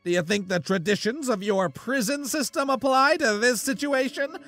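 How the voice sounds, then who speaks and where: A man voicing a manic, sadistic talking sardine can in comedic audio fiction, shrill and strained, mocking and foul-mouthed. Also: moderate pace, a bit bright. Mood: mocking, gleeful.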